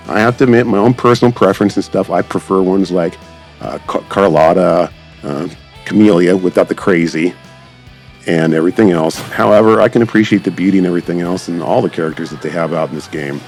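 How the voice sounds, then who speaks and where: Male gaming creator, deep voice, casual enthusiastic rambling commentary, music underneath. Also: fairly quick, a little mellow. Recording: background music behind the voice.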